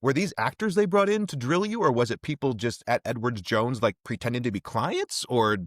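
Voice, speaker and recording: A man's voice: medium pitch, a little fast, no echo, clean studio sound. A man hosting a podcast, interviewing in a casual, conversational style.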